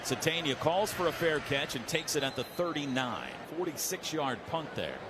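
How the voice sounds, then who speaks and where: An American man calling football play-by-play on the radio in clipped, vivid, excitable phrases. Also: on the high side, normal speed. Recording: noisy stadium radio broadcast.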